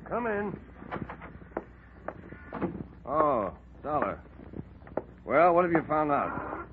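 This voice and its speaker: An American actor playing a gruff, resentful ex-convict taxi fleet owner in a 1940s radio drama, blunt and surly. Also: male voice, fairly high pitch, very animated, slow pace. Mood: brusque. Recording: tinny, noisy 1940s radio recording.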